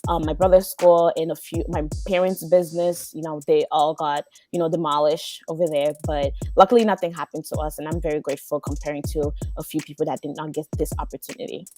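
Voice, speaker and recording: A woman's voice: deep voice, level tone, a little fast, hardly any pauses, darkish tone, faint music behind. A young woman from Haiti, a polite podcast guest talking about her life with many ums. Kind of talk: casual interview.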